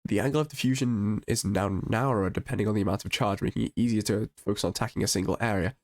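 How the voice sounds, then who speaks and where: A man with a slightly low, croaky voice, a bit sick, talks through a game's patch notes. His delivery is casual and enthusiastic, full of little restarts and fillers as he thinks aloud. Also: fast. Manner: chatty and enthusiastic, with ums and restarts. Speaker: gaming channel host. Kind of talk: casual game patch review.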